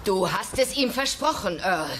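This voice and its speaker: A woman playing a sensible, firm dinosaur wife and mother, reproachful yet gently persuasive, in a German-dubbed sitcom audio play. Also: mid-range voice, animated, normal speed, slight background noise, lightly acted. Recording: echoey sound with music behind.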